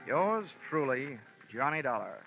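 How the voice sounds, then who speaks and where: Over an old, band-limited radio recording with prominent music comes a hard-boiled American investigator. He is cynical and wry, landing deadpan wisecracks with widely swinging intonation in 1940s detective style. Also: medium pitch, measured pace, gravelly, dull audio, heavily acted. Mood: signing off. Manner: wry, deadpan punchlines with theatrical emphasis. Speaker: radio drama lead and narrator.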